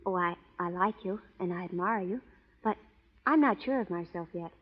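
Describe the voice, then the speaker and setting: An actress playing a spirited, independent young frontier schoolteacher in an expressive old radio drama style. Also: female voice, lowish voice, moderate pace, natural voice. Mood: earnest, unsure. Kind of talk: 1930s-40s radio drama acting. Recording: muffled old radio recording.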